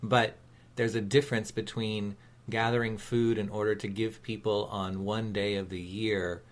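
A man co-hosting a talk radio show, speaking in an earnest, measured and encouraging, reflective way. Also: lowish voice, little pitch movement.

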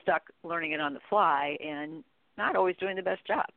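A woman's voice: lowish voice, moderate pace, no echo. A woman speaking as an expert guest in a conversational interview, talking informally and emphatically. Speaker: guest expert on contact center training. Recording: narrow tinny phone-like line.

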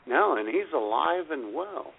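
Male radio co-host, husky, lively swooping intonation, casual comic banter, thin phone-like audio. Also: on the high side, unhurried, slight background noise. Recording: narrow phone-like radio sound.

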